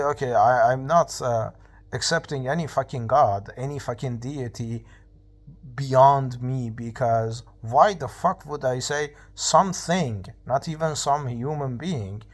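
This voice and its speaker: A man in his twenties from Iran, speaking English, rants bluntly and profanely in a casual vlog, pausing and restarting often. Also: medium pitch. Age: 20s. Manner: halting, many fillers and restarts. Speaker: vlogger.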